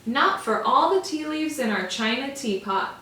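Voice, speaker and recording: A woman voicing a chorus of small frightened wolf cubs in a storybook reading, high and emphatic as they refuse. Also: animated, measured pace, bright, forceful tone, slight background noise, heavily acted. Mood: defiant. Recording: roomy echo, from far off.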